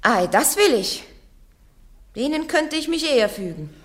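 A woman's voice: sits high, very animated, measured pace. An actress playing Joan of Arc, a young woman on trial who answers her judges curtly and stubbornly in a German radio play. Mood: defiant. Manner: stubborn, curt, defiant replies. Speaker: accused. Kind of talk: 1950s-60s German radio drama.

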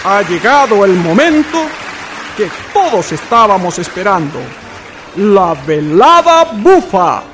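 An actor playing a pompous master of ceremonies at a 1950s festival in a radio drama, declaiming grandly. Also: male voice, high voice, sing-song, slow pace, noisy background, exaggerated voice. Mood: ceremonious. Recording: music and crowd behind.

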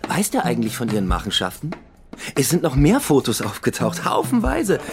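An actor playing a menacing, sardonic police officer who presses hard with questions in a radio drama. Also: male voice, medium pitch, wildly swooping pitch, fairly quick, music in the background. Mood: pressing.

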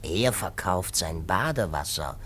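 An actor playing a furtive, insinuating art dealer in a 1950s radio play, speaking in a halting, obsequious way. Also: male voice, sits low, lively intonation, fairly bright tone, slight background noise. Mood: sly, hesitant.

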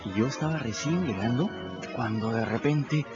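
An actor with a Peruvian Spanish accent playing a quick-witted young boy who tells his own story in a folksy first-person manner in a radio drama. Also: mid-range voice, animated, over music, close to an everyday voice. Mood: storytelling. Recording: dull lo-fi radio recording.

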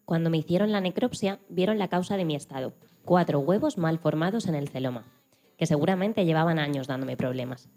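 Low, dark-voiced woman reading a story. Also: brisk. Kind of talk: scripted first-person story reading.

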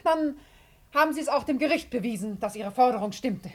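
A woman's voice: a little high, expressive, fairly quick, darkish tone. An actress playing a distraught, defensive female bookkeeper in a 1950s German radio drama, stammering and self-righteous. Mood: flustered, defensive. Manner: flustered, stammering, repeating words.

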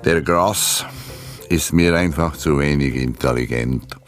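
A man talking in a deliberate, dry way. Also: rumbling low, faint music behind.

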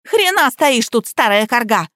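A woman's voice: high voice, animated, moderate pace, no echo, clean studio sound, voice pushed up. A woman voicing a hysterical, vulgar young wife in an audiobook, shrilly hurling abuse and threats. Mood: enraged.

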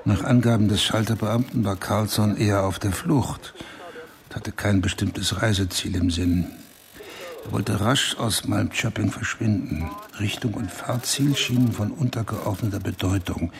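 Dry detective dictating case notes, lowish voice, very factual, radio play. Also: male voice, vocal fry. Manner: official, factual, measured. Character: dry, methodical male police inspector dictating case notes. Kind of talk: German radio play monologue.

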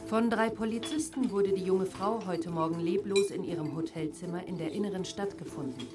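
Casting: actress as formal newsreader, deep female voice, factual, loud music underneath. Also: brisk, natural voice. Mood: matter-of-fact. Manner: formal, matter-of-fact. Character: matter-of-fact female news reader. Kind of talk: news report reading.

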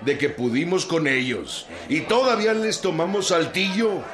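An actor playing a folksy, fervent rural revolutionary general with a Mexican accent in a radio drama, speaking colloquially and emphatically. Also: male voice, fairly high pitch, lively intonation, moderate pace, close to an everyday voice. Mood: indignant, boastful. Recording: loud crowd behind the voice. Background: crowd.

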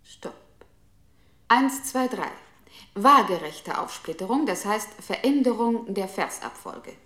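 An impersonal female computer control unit in a 1960s experimental radio play. It issues systematic announcements in a clipped, formal way, at an ordinary middle pitch. The delivery is commanding and procedural. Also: lively intonation, slow pace, a bit bright.

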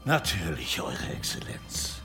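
A sceptical bishop in a radio drama. His creaky voice rises and falls expressively, dripping with dismissive sarcasm, faint music behind. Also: very deep voice, sing-song, measured pace, exaggerated voice. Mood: stiff deference. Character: sceptical, sneering male bishop. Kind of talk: German radio play acting.

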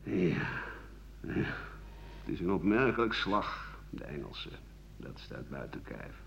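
An actor playing an embittered aging French doctor and war veteran, rambling sardonically through his memories in a radio drama, his voice husky and rough-edged. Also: sits low, very animated, measured pace, a bit bright, a little hiss or hum, full character voice. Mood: wry, scornful. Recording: dull 1980 radio play recording.

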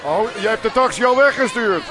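A man's voice: high-pitched, animated, fairly quick. A man playing an unbothered, chatty small-time hired Santa in a radio comedy, rambling along matter-of-factly. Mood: chatty, muddled. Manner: rambling, matter-of-fact, verbal tags. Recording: noisy, crowded background.

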